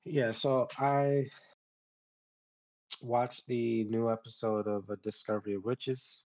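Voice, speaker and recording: A man casually recapping a story in slangy, unhurried phrases, recorded with slightly muffled sound. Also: mid-range voice, fairly even intonation, a little mellow. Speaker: panelist on a nerd culture show. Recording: dull, muffled lo-fi audio.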